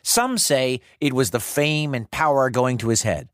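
A man's voice: medium pitch, unhurried, no room sound, studio quality. A man telling a scripted story for children in a conversational, dramatic way with wry, casual asides. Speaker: storyteller, podcast host.

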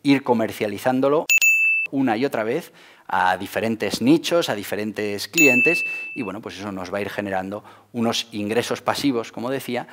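A man's voice: lowish voice, normal speed, heavy background noise. A man, hosting an explainer video to camera from a studio desk. He gestures broadly and leans on fillers like "bueno, pues". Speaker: host of a channel on marketing for entrepreneurs. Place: indoor studio set with a porthole backdrop. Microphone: lapel mic, seated at a desk.

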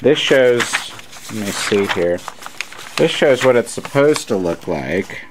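A man's voice: medium pitch, expressive, takes it slowly, a little hiss or hum, poor audio. A man giving a casual, plain-spoken repair demonstration, rambling along unscripted as he presents. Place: small shop, indoors. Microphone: handheld camera, operator behind it.

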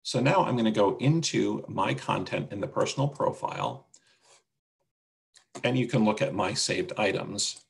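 Guy doing a webinar demo, low creaky voice, casual, walks you through it step by step. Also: sing-song, normal speed. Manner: explanatory, step-by-step. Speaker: webinar presenter demonstrating a website. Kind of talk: casual software walkthrough. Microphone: video call.

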